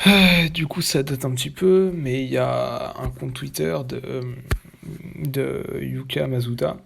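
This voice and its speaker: A man giving casual, blunt, opinionated commentary, talking haltingly with many fillers and restarts. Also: medium pitch, gravelly, a little hiss or hum.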